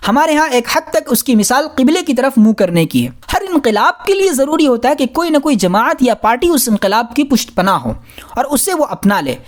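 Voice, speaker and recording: A woman narrates a scholarly audiobook in a high voice. Her intonation rises and falls in a very lively way, while her delivery stays formal and measured, as scripted reading. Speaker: audiobook reader.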